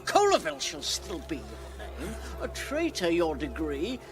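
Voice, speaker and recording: A man's voice: fairly high pitch, wildly swooping pitch, over music. An actor playing a pompous, mocking old knight and comic rogue in a classical radio drama, drawing out his words. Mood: mock grand. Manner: slow, pompous, drawn-out delivery.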